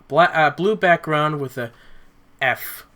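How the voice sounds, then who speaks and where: A man co-hosting a casual podcast chat, thinking out loud as he explains. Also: fairly high pitch, slow.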